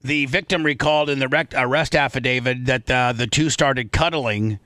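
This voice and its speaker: A man's voice: mid-range voice, normal speed, sharp tone, no echo. An American man hosting a comic talk radio show, bantering and reading news aloud, often stumbling and repeating words.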